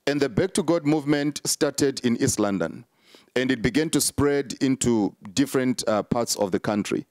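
Brief: man, preacher being interviewed, mid pitch, halting but emphatic, dry recording. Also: a little mellow, dry recording. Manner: emphatic, repetitive preacher cadence with many fillers. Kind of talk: sermon-like interview answers.

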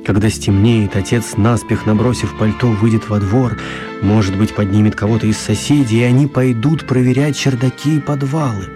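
A man narrating a scripted story for a radio play, with dramatic, deliberate phrasing. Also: on the low side, steady tone, music in the background.

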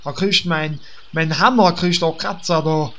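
Man as a slow, pompous East German paladin. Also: on the high side, sharp tone. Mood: indignant. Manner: plodding, naive, self-important. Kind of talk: comedic fantasy audio drama.

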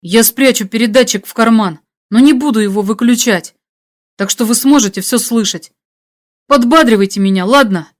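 A woman's voice: on the high side, normal speed, close to an everyday voice. A worn-out young adventurer on the run, played by a woman reading an audiobook. He sounds tense and hesitant, anxious yet brave, speaking with urgency. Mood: resolute. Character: exhausted, hunted young male adventurer, anxious but brave. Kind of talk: audiobook character dialogue.

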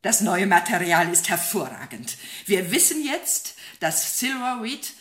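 An actress in a German radio play playing a businesslike Soviet intelligence officer, briefing in a precise, official manner. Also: female voice, mid-range voice, sing-song, a little slow, fairly bright tone, reverberant.

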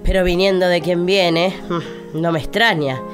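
A woman as a haughty, low-voiced Argentine politician. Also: steady tone, measured pace, music in the background, close to an everyday voice. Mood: scornful. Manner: pompous, scornful, declamatory. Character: haughty, boastful, scornful opposition politician, a doting mother. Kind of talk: political satire radio sketch.

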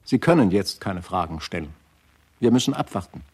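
A man's voice: low voice, animated, moderate pace, husky. A man playing a firm hospital doctor in a radio play. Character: firm hospital doctor shielding his patient.

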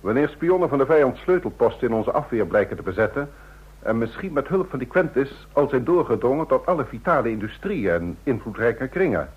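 An actor playing a suspicious, authoritative president in a radio drama, with a husky voice and a pompous, rhetorical manner. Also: male voice, medium pitch, sing-song, fairly quick. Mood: commanding. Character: male state president, suspicious, authoritative, probing statesman. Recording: dull lo-fi old radio recording.

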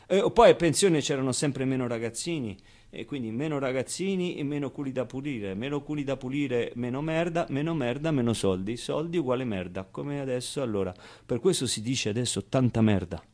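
An actor playing a wistful, streetwise man who recalls his orphaned boyhood in an expressive, colloquial theatrical monologue. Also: medium pitch, close to an everyday voice. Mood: wry, confiding. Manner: expressive, clownish, with pauses and repeated phrases. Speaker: stage actor. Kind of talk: theatrical monologue, colloquial first-person storytelling.

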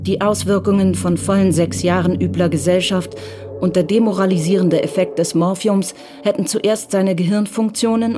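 A woman's voice: on the low side, background noise. A woman narrating a crime radio drama as a scripted literary story. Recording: clear music bed under narration.

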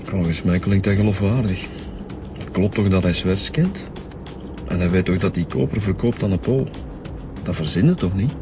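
A man's voice: low voice, normal speed, long pauses, music in the background. A man with a Flemish accent playing an informal, practical police inspector with a questioning manner in a radio crime drama. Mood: reasoning.

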